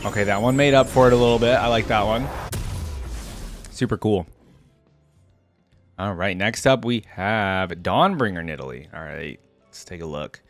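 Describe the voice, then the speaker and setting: A man's voice: lowish voice, lively intonation, normal speed, long pauses. A man giving casual reaction commentary as a video host, musing and thinking aloud. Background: game music and sound effects.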